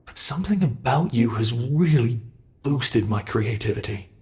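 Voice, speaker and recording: A man playing a sadistic, gloating monster from under the bed in an ASMR horror roleplay, taunting and savoring every word with a gravelly voice. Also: mid-range voice, expressive, moderate pace, a little mellow, close to an everyday voice.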